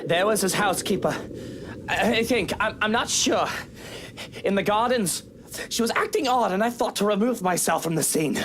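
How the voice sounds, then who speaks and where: A woman's voice: medium pitch, normal speed, background noise, close to an everyday voice. A woman voicing a haughty, wealthy noble, rattled and indignant, in a visual novel game. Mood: shaken.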